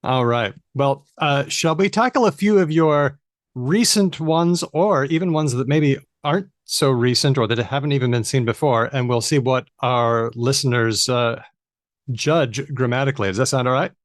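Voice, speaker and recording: A man's voice: medium pitch, moderate pace, no room sound, studio quality. Upbeat male podcast host. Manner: upbeat, guiding the show along. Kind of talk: live podcast hosting.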